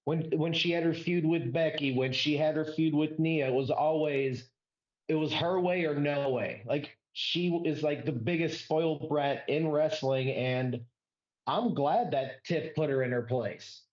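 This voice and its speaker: A man's voice: on the high side, measured pace, echoey room, lo-fi sound. A man co-hosting a wrestling podcast, giving blunt, opinionated takes over a remote call. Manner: opinionated, direct. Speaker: podcast co-host. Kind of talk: casual wrestling discussion.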